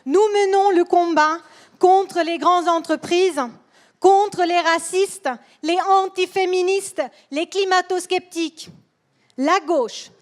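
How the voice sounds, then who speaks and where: A woman with a Swedish accent, speaking in a second language and giving a political speech at a rally, careful and deliberate, repeating words as she goes. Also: extremely high pitch. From Sweden. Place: rally hall.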